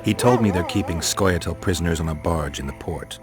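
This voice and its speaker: An actor playing a stoic, blunt monster hunter in a video game, with a terse, deadpan manner. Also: male voice, low voice, a little fast, over music, close to an everyday voice. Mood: flat, resolute. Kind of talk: video game dramatic dialogue.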